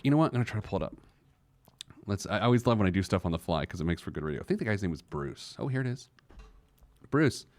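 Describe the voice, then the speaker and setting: A man's voice: mid-range voice, gravelly, darkish tone, no room sound. A man hosting a personal finance radio show off the cuff, emphatic and often answering his own rhetorical questions. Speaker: radio host, financial planner.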